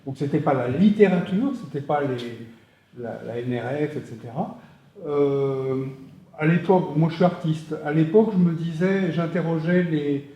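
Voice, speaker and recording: A man's voice: on the high side, moderate pace, warm tone. A man in the audience asking a long, rambling question from the floor, hesitating with many fillers. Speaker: audience member. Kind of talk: question from the floor at a public discussion. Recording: echoey, dull hall sound.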